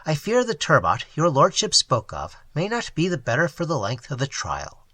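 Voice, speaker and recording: A man's voice: on the high side, wildly swooping pitch, normal speed, bright, forceful tone, heavily acted. A man reading a sour, portly older bishop who grumbles.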